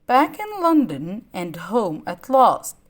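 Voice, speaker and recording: A woman reading a children's story aloud in an expressive, dramatic way. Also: medium pitch. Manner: expressive, dramatic, deliberate phrasing.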